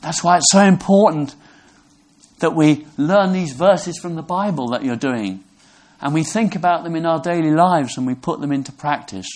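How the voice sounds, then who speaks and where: A man from Brixham, England, preaching a sermon in an earnest, halting way, often restarting his words. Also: on the high side, a little mellow. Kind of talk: sermon to a congregation.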